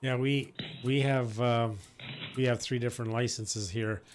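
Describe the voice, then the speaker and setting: A man's voice: medium pitch, fairly even intonation, unhurried, a little hiss or hum. Man chatting on ham radio. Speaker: amateur radio operator. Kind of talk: casual chat over ham radio.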